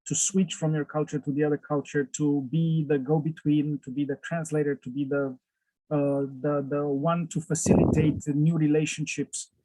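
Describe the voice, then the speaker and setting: A man with a Romanian accent speaks English as a second language, pausing often on "uh" and sometimes bending a phrase. His voice sits a bit high for a man. The sound is dry and close, like a video call. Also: flat delivery, mellow, dry recording, low-quality recording. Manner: frequent "uh" fillers, slight non-native phrasing. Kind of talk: conversational interview over video call.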